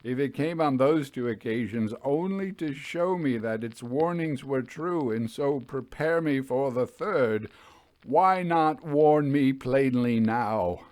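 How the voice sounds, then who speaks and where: A man's voice: medium pitch, takes it slowly, a little mellow, dry recording, close to an everyday voice. Man playing an anguished, pleading railway signalman. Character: tormented, poor railway signalman in anguished despair. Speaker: character in a ghost story reading. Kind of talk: dramatic audiobook acting.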